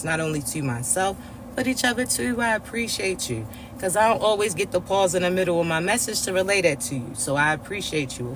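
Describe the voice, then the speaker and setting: A man with a raspy, congested voice, giving a spiritual reading to his viewers in a slow, repetitive preaching way, often checking in with his listeners. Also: sits high, noisy background. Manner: slow, repetitive preaching with frequent "all right?" check-ins. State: congested, stuffy nose. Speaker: spiritual reader and coach.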